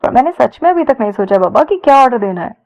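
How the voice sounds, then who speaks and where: A woman's voice: fairly high pitch, big pitch swings, fast talker, vocal fry, darkish tone. A woman voicing a sly, teasing young man in a romance audio story, playful and coaxing. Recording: dull lo-fi sound.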